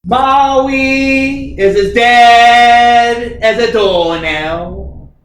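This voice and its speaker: An actor playing a solemn priest at a funeral, intoning in a sing-song drone. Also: male voice, extremely high pitch, very slow, fairly bright tone, heavily acted. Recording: roomy, distant, faint hiss.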